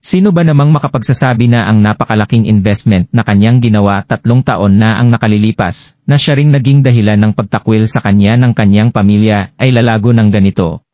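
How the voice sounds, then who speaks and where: A man's voice: on the low side, fairly quick, darkish tone. A Filipino man narrating a scripted, dramatized story as its storyteller. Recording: dull lo-fi, roomy echo.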